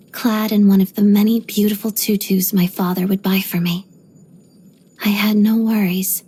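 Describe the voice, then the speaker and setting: A young woman ballerina from a video game, voiced by an actress, with a soft, breathy voice that often thins toward a whisper. She speaks in a composed, measured way, polite but guarded. Beneath the discipline sits a proud, quietly haunted temper. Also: medium pitch, close to an everyday voice. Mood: wistful. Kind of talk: video game character voice lines.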